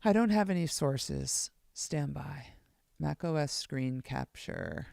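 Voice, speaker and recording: A woman with a low voice, rambling excitably on a casual live stream as she plays bass and fiddles with her gear. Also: slow, vocal fry, dry recording, clean studio sound. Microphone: streaming setup mic.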